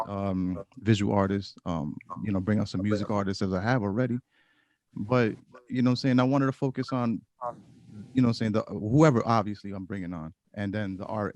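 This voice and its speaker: Male podcast host, low voice, dry close sound, rambling casual delivery full of fillers. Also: a little mellow, no room sound. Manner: loose and rambling, many fillers.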